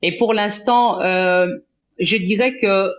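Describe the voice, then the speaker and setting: Halting woman on a dull line. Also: medium pitch, steady tone. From Belgium. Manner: halting, earnest, many restarts. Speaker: guest. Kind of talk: live interview talk. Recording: dull lo-fi sound.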